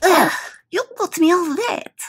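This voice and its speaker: A woman playing a haughty, world-weary, scornful villainess in an audio drama, dismissive and deliberate. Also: very high voice, expressive. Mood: irritated.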